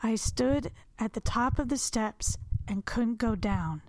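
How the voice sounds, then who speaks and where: An actress playing a distraught, haunted woman in an audio drama, speaking haltingly as she confides in her psychologist. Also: a little high, expressive, no room sound, rough recording. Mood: fearful, subdued. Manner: halting, repeating words, trailing off.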